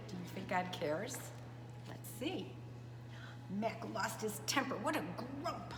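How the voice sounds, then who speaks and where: A woman reading a story aloud to young children, expressively and with chatty asides to her listeners. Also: on the high side. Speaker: preschool storyteller. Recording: echoey reverberant room, clear background noise.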